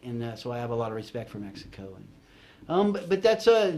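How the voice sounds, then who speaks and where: A man from San Bernardino hosting a casual talk show, enthusiastic and halting, often repeating words as he goes. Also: mid-range voice, very animated, darkish tone. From San Bernardino, California. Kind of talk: casual chat.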